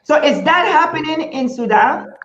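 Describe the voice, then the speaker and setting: A woman's voice: high voice, lively intonation, moderate pace, a bit bright, roomy sound, muffled recording. A woman hosting a panel talk show, speaking emphatically and repeating points for stress.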